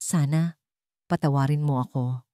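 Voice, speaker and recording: A woman plays a powerful male executive brought low by guilt in an audio drama. He pleads earnestly and apologetically, in a voice recorded dry and close. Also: fairly even intonation, measured pace, warm tone, smooth, no echo. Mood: remorseful. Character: remorseful wealthy male CEO, broken and pleading for forgiveness. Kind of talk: dramatic Tagalog audio story.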